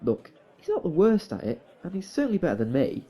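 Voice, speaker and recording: A man's voice: high-pitched, huge pitch swings, a little fast, warm tone, exaggerated voice. A man playing a long-suffering, exasperated but fond young husband in a fan audio drama, flustered and grudging.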